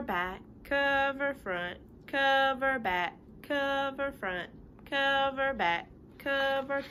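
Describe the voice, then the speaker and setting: A woman's voice: high-pitched, pushed and bright. A woman in her thirties reading a picture book to children, chatty and sing-song, with casual asides that explain things simply. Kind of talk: animated read-aloud with casual asides. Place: living room at home. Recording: home recording with light room noise.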